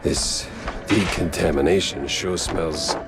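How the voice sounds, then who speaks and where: Low, wry noir mouse detective. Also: male voice, slow pace, over loud music, full character voice. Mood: wry disbelief. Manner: deadpan, sarcastic muttering. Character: wry, world-weary hard-boiled mouse private detective. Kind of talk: noir cartoon video game acting.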